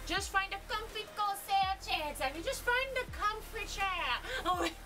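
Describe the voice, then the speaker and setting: Bubbly high-voiced woman streamer. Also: normal speed. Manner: bubbly, excitable, laughs often. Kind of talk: casual live-stream chat. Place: gaming room lit with colored lights. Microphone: desk mic with headset. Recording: music under the voice. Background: background music.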